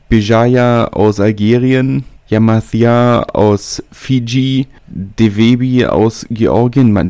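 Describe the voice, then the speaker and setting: A man hosts his own podcast alone, talking in a loose, conversational way and often pausing with fillers. His voice sits a little low. The sound is dry and close, with no room around it. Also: a little mellow, clean tone, no room sound. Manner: casual, thinking aloud with many fillers. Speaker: podcast host. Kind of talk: solo podcast monologue.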